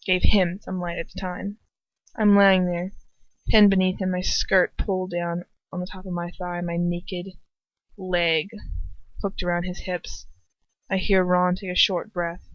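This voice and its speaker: A woman's voice: on the low side, little pitch movement, unhurried, no room sound. A woman reading fan fiction aloud as an amateur, informal and breaking off into casual asides. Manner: informal, self-interrupting. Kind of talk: informal first-person story narration with casual asides. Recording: dull lo-fi home recording.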